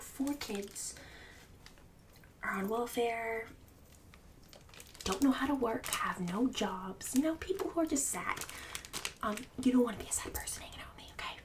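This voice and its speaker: A woman's voice: fairly high pitch, distant mic, natural voice. Woman playing a snobbish, catty suburban ASMR hostess, noisy recording. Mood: haughty disdain. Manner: condescending, catty, fake-polite, drawn-out emphasis. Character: snobbish, mean, bragging suburban woman hosting book club. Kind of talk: ASMR roleplay, partly whispered.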